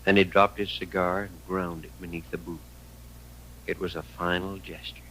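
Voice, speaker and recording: An American actor as the grave narrator of an old radio western, deliberate and dramatic. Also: male voice, low voice, flat delivery, fairly bright tone, a little hiss or hum, low-quality recording. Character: grave storyteller of a western tale. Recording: old radio recording with music.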